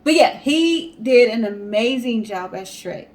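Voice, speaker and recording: High-voiced woman telling stories casually. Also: animated, a little slow, darkish tone. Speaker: co-host of a storytelling guessing show. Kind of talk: casual storytelling and chat to viewers.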